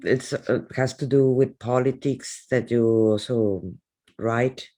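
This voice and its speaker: A man's voice: medium pitch, fairly even intonation, slow pace, mellow. Hesitant podcast host, man. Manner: searching for words, with hesitations. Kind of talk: interview questions.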